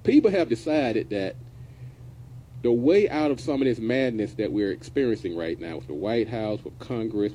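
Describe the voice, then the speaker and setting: A man's voice: fairly low pitch, mellow, a little hiss or hum, low-quality recording. A middle-aged man hosting a business radio show, talking in a folksy, casual way and now and then dropping verbs. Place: radio studio.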